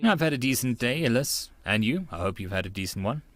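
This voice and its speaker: A man's voice: medium pitch, expressive, fast talker. A man who narrates audiobooks, chatting casually in asides to his live listeners. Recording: faint music behind the voice. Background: soft music.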